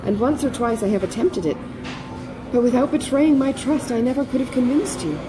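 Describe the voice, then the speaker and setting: A woman voicing a self-controlled, dutiful elder sister in an audiobook, earnest, measured and restrained. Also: fairly high pitch, music in the background, voice pushed up, close to an everyday voice. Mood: composed, earnest.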